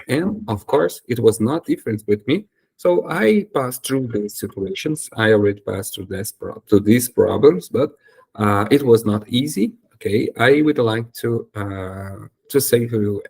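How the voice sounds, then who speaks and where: A man's voice: medium pitch, creaky, darkish tone, breathy edge. A young Brazilian man whose first language is Portuguese, speaking English with a Brazilian accent in a casual talk to camera, hesitant with many fillers and self-corrections. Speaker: YouTuber and English learner.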